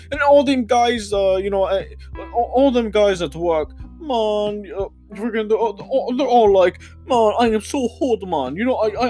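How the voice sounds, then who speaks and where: A man's voice: high voice, a little mellow. A man voicing a stammering male warehouse supervisor with a put-on Trinidadian accent, who repeats words and ends lines with "man". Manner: stammering, touchy and indignant. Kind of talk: improvised comedy podcast character.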